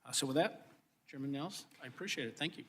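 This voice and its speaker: A man giving formal, halting remarks as a committee member. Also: medium pitch, animated, fairly bright tone.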